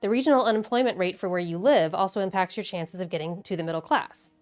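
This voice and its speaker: A woman's voice: medium pitch, fast. American woman doing video essay narration, casual wry asides, emphatic, bit of music underneath. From Midwestern United States.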